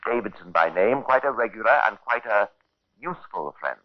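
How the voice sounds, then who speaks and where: An actor playing a sardonic storyteller, narrating a 1950s radio crime drama in a deliberate, theatrical way. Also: male voice, lowish voice, expressive, natural voice. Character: sardonic male storyteller relishing a grim murder tale. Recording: noisy, muffled 1950s radio recording.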